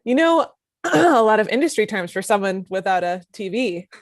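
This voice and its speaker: A woman's voice: medium pitch, sing-song, moderate pace, dry recording, natural voice. Woman playing dry, skeptical woman in her thirties. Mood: dry skepticism. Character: polite, skeptical 35-year-old female TV executive. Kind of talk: comedy table read.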